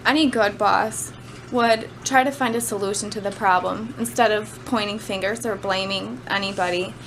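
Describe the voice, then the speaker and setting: A woman delivers an informal class speech in a conversational way, with slightly hesitant phrasing. Her voice sits at an average pitch. The amateur camera recording has some noise in it. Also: steady tone, normal speed, fairly bright tone. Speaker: student giving a class speech. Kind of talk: informal prepared speech on personal work experience.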